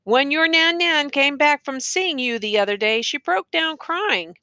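A woman narrator acts out a male schoolteacher in an audiobook novel. He is earnest, insistent and exasperated, speaking in educated phrases. The voice is bright and forward, recorded very dry. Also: high-pitched, unhurried, no room sound, pitched above the performer's own voice. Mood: pleading. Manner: pleading, insistent, educated diction.

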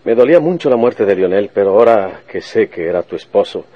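Deep-voiced, husky rural Mexican detective. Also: male voice, animated, fast talker, lo-fi sound. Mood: sorrowful, earnest. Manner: folksy country speech full of rustic colloquialisms. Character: stubborn, vengeful rural Mexican detective, blunt and earnest. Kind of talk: Spanish-language radio serial acting.

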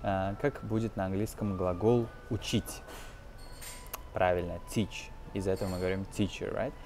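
A man in his twenties giving an informal language lesson as a teacher, explaining phrases in a halting, hesitant way. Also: low-pitched, steady tone, a little mellow, a little hiss or hum. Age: 20s. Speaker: English teacher.

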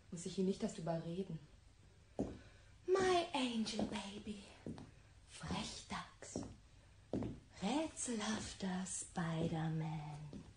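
A woman's voice: fairly low pitch, background noise. A woman playing a volatile, lovestruck bohemian actress exasperated with her lover, theatrical and swinging between muttering and outbursts, in a German radio drama. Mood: irritated, then tender, dreamy.

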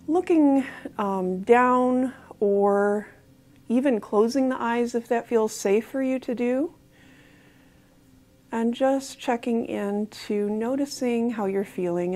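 Soft-spoken woman guiding meditation, gravelly. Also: on the high side. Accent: American. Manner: slow, soft-spoken, calm guidance with pauses. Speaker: mindfulness guide. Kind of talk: guided body scan meditation.

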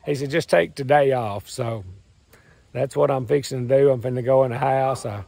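A hoarse man in his thirties with a Southern US accent, rambling cheerfully in a folksy way to a phone camera while standing outdoors in the woods. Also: mid-range voice, normal speed, warm tone, no echo. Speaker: farm and hunting channel host. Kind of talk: casual vlog talk to camera. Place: outdoors in woods beside a truck. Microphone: phone camera at arm's length.

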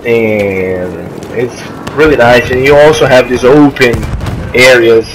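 Brazilian-accented man, Portuguese native, halting gameplay commentary, steady hiss under the voice. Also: mid-range voice. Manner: halting, searching for words, many restarts. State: coughing from allergy. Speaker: gamer. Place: friend's house while traveling. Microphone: makeshift mic, not his usual. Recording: noisy, poor-quality home recording.

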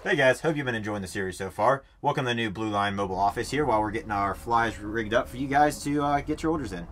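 A man's voice: lowish voice, fast talker, fairly bright tone, a little hiss or hum. A young adult man talking casually as a vlog co-host.

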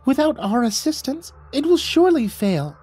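A man voicing a small, humble sprite courtier who reasons gently and formally in a fantasy story. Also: extremely high pitch, big pitch swings, moderate pace, husky, soft background music, voice pushed up, heavily acted. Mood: quietly persuasive.

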